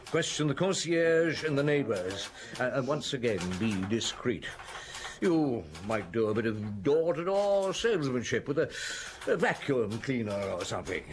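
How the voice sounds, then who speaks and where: Guy playing gruff detective boss in a radio play, mid voice, mumbly hms then short orders. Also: medium pitch, sing-song, normal speed, slight background noise, lightly acted. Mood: brisk, musing. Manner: hesitant murmurs, terse orders, mutters. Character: gruff, wry middle-aged male police chief inspector. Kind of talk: audio drama acting.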